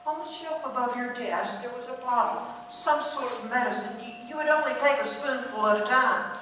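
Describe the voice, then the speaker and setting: A woman's voice: a little high, moderate pace, a bit bright, natural voice. A woman voicing a hungry, neglected talking cat in a radio play, coolly accusing and sly, with dry, pointed delivery. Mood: wry, aggrieved. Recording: echoey hall from far off, faint hum.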